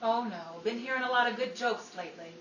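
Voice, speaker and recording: Woman acting coy 1950s radio-drama dame, slightly high voice, dry comebacks, echoey room. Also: brisk, fairly bright tone, lo-fi sound, close to an everyday voice. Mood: teasing. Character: coy, sharp-tongued 1950s nightclub singer, a Hollywood woman. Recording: roomy, distant, noisy with background chatter.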